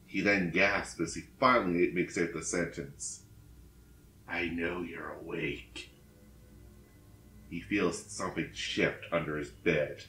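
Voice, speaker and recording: A man narrating a scary story with suspenseful, drawn-out phrasing. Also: deep voice, fairly bright tone. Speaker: ghost story reader. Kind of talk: dramatic scripted narration. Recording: roomy echo, faint music behind.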